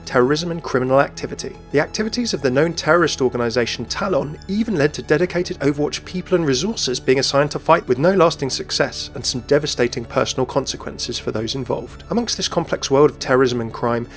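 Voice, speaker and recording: A man's voice: mid-range voice, fast, hardly any pauses, faint music behind. A man reading scripted narration for a video essay.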